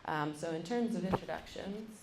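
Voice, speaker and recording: A woman's voice: fairly low pitch, normal speed, background noise. A young woman moderating a university panel, introducing each speaker with frequent ums. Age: young adult. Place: lecture room. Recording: roomy, mic from a distance.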